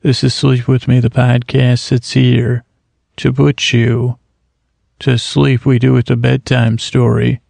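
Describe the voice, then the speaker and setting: A man in his thirties hosting a sleep podcast in a slow, meandering bedtime monologue, halting often with restarts and fillers. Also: mid-range voice, level tone, vocal fry, a little mellow, no echo. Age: 30s.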